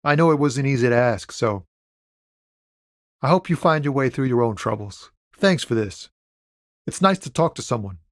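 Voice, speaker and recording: Casting: a man to play a game's laid-back lorry driver. Medium pitch, casual and earnest, streetwise, halting when pressed to betray a friend; soulful, loyal. Dry recording. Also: no room sound, close to an everyday voice. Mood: grateful, gentle. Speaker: video game voice actor. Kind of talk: conversational video game character dialogue.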